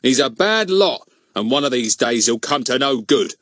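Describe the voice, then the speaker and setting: Man doing a blustering, bullying village policeman, loud and swooping. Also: medium pitch, big pitch swings, moderate pace, no room sound, clean studio sound, voice pushed up. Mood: rude bluster. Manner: blustering, emphatic, uneducated grammar.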